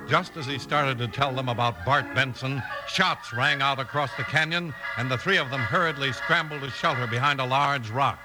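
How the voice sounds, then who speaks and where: A man's voice: mid-range voice, normal speed, hardly any pauses, loud music behind the voice, rough recording. An American man announcing in an emphatic, theatrical, scripted 1950s radio style. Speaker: announcer and narrator.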